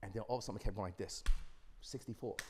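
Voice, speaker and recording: A man's voice: fairly low pitch, steady tone, racing, a little mellow, a little hiss or hum. A man telling his story in a conversational interview, emphatic and repeating phrases as he goes. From New York (the Bronx). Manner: emphatic, repeats phrases, checks in with "you know what I'm saying". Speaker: podcast guest, entrepreneur. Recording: reverberant hall-like room echo.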